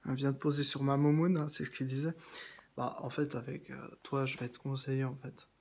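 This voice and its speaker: A man's voice: mid-range voice, little pitch movement, a little fast, vocal fry, a little mellow, slight background noise. A man talking straight to one absent person in a long, meandering monologue, repeating himself and restarting often. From France. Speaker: video blogger addressing someone. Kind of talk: rambling direct-address monologue.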